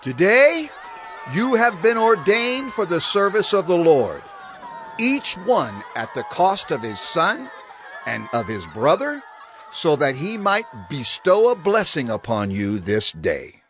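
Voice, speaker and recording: Casting: stern, impassioned biblical leader, slow emphatic delivery with huge swings of pitch, over music. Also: male voice, wildly swooping pitch, fairly bright tone, some chatter behind, exaggerated voice. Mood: grave, exhorting. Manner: intense, declamatory, emphatic. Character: Moses, impassioned male Hebrew leader, stern yet pleading. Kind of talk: dramatized scripture audio drama. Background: cinematic music.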